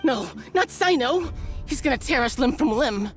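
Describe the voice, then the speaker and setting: Higher-voiced, anxious captive underling. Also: female voice, animated, moderate pace, faint music behind. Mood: terrified. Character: nervous captured female scholar's underling, resigned to confessing.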